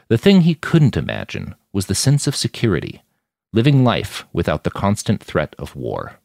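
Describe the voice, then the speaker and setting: Very deep-voiced man narrating fiction. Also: vocal fry, no room sound, clean studio sound. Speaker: author narrating own fiction podcast. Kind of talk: audiobook-style fiction narration.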